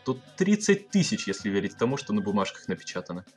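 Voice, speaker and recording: Young man playing a swaggering, animated Wild West bandit. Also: on the low side, fast talker, soft background music. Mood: excited, boisterous. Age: young adult. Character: cheerful, boisterous male bandit of the American West. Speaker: amateur school performer. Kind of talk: audio play acting.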